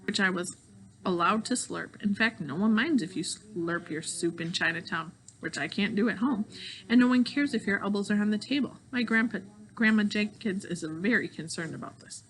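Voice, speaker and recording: A woman reading a children's novel aloud in an expressive storytelling style. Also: medium pitch, moderate pace, rough recording. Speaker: audiobook reader of a children's novel.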